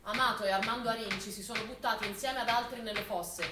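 A woman's voice: on the high side, fairly even intonation, fast. A woman narrating a scripted war drama as a stage actor, telling the events dramatically. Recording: echoey distant stage recording, faint noise.

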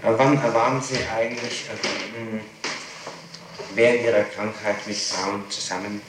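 A man's voice: lowish voice, steady tone, a little slow. A man questioning someone in a recorded interrogation, probing and pressing for dates and details, on an old tape. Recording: echoey, noisy 1960s tape recording.

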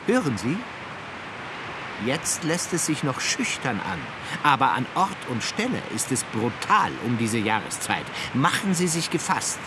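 A man's voice: medium pitch, very animated. An actor playing an eager man who guides and warns his companions emphatically, in a German radio drama. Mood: eager warning. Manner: emphatic, forewarning. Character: eager male guide warning of roaring waterfall. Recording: clear outdoor hiss and rushing noise.

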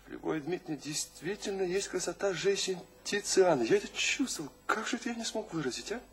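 Pensive, self-doubting young poet: fast, fairly high voice, radio-play acting. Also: male voice, lively intonation, slight background noise. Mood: pensive, hesitant. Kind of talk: audio drama acting.

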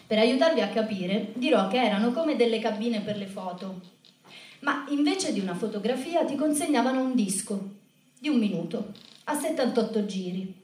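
Echoey, higher-pitched woman narrating on stage. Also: animated, normal speed, a little mellow. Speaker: storyteller on stage. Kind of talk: scripted spoken-word narration in a theatre show. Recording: echoey room, distant microphone.

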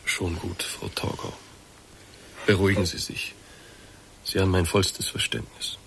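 Radio drama casting: deep, soothing, formal investigator who quietly insists, faint noise behind. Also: male voice, lively intonation, a little slow, gravelly, full character voice. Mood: calm, probing. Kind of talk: German radio play acting.

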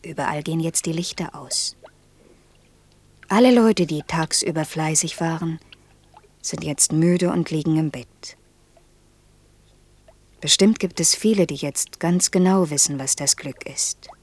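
An actress playing a gentle, pensive, earnest woman in a quiet radio play, speaking in a breathy, lyrical, hushed storytelling manner. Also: deep voice, dry recording. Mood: tender, dreamy. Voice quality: breathy, husky. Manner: hushed, slow, lyrical storytelling that slips into near-whisper. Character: gentle, pensive woman telling a fairy tale, gravely earnest. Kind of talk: quiet, intimate German radio play acting.

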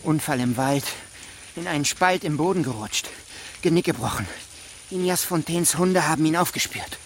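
An actor playing a creepy, self-justifying gamekeeper and killer in a radio drama, halting and lingering on words. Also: male voice, fairly high pitch, animated, a little hiss or hum. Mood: matter-of-fact.

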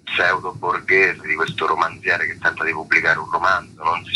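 Deep, very bright-voiced man, explaining. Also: a little hiss or hum, rough recording. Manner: halting, searching for words, reformulating. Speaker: stage actor presenting his play. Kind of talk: explanatory interview talk.

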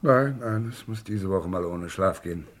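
A man playing a wary, shrewd, wealthy English gentleman in an old German radio crime drama, with deliberate, dramatic delivery. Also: low voice. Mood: shrewd, businesslike. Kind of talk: German radio crime drama acting, mid-20th century.

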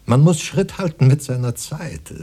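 An actor playing a harried, pompous professional poet in a German radio play, halting and muttering, easily exasperated. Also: male voice, medium pitch, animated, warm tone, husky. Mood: placating. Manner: theatrical, halting, muttering then flaring up.

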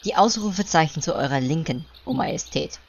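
A woman's voice: deep voice, lively intonation, fairly quick, vocal fry, heavily acted. A woman playing a dutiful, slightly naive servant of a queen in a parody audio drama, deferential with dry asides. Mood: dryly helpful.

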